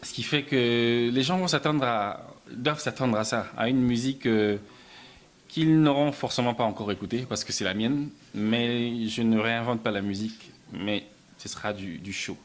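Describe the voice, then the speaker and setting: A man from Belgium answering interview questions about his music and films, soft-spoken, often stumbling and repeating words. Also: medium pitch, normal speed. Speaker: musician and film writer, interview guest.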